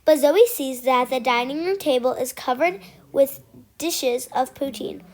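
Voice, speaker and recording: A child with a high voice reads a picture book aloud at a slow pace. The reading is halting, with words repeated and corrected as it goes, in the way of an amateur read-aloud.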